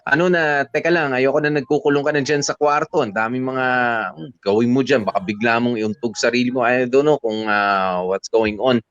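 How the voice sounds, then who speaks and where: A man's voice: medium pitch, fairly even intonation, a bit bright, clean tone, no echo. Filipino man, dry and slightly dull sound. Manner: halting, conversational, code-switching between two languages. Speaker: radio show co-host. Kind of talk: casual advice talk.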